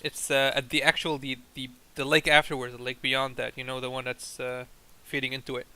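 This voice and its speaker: A man's voice: mid-range voice, little pitch movement, fairly quick, bright, forceful tone, a little hiss or hum. A young man chatting casually and teasing his friend while explaining their factory in a game. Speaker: co-host playing a factory game. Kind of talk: casual chat over gameplay.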